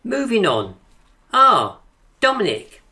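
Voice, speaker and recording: An actress playing the brisk, pretentious leader of a poetry circle in a radio drama, grandly articulate and theatrical. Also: female voice, mid-range voice, wildly swooping pitch, sharp tone, full character voice. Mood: brisk, chiding.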